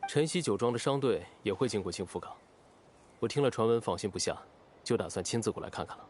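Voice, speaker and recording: A man's voice: on the low side, expressive, fast talker, slight background noise, close to an everyday voice. An actor playing a cool, reserved young nobleman in a video game, speaking formally and tersely. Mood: restrained, pointed. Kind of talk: Chinese video game voice acting. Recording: music behind the voice.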